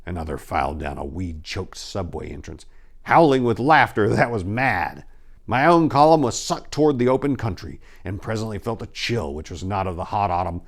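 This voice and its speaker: A man voicing a haunted, dread-stricken narrator of cosmic horror, reading theatrically and solemnly with swelling and sinking delivery. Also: lowish voice. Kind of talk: dramatic horror story reading.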